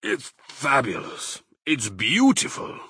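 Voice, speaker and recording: A man's voice: mid-range voice, wildly swooping pitch, slow pace, bright, forceful tone, husky, no echo, studio quality, pitched above the performer's own voice, exaggerated voice. A man voicing a clever, proud male fox father in a storybook reading, fatherly and pleased.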